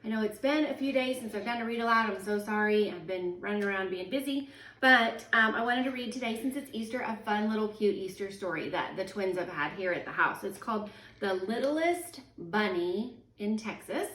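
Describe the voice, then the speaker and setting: A woman, a teacher in her thirties, reading a children's picture book aloud at home in a sing-song, expressive way. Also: mid-range voice, moderate pace. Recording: echoey room, voice a little far from the mic.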